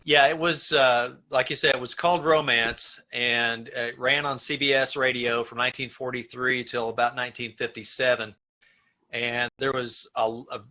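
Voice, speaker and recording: A man with a bright, forceful voice at a middling pitch talks over a video call. He explains things casually, as a cast member filling in background.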